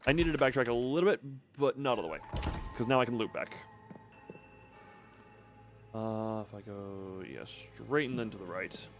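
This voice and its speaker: A man's voice: on the low side, animated, moderate pace, pauses often, soft background music. A man giving casual live game commentary, thinking aloud with playful, quirky turns of phrase. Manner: playful, quirky word choices. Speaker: video game streamer.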